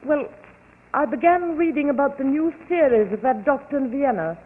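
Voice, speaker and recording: An actress playing an anxious wife confessing to a doctor, speaking in hesitant, halting bursts in 1940s radio style. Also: female voice, high-pitched, lively intonation. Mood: troubled. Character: anxious young wife fearing her own urges. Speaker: patient. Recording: tinny old radio broadcast with hiss.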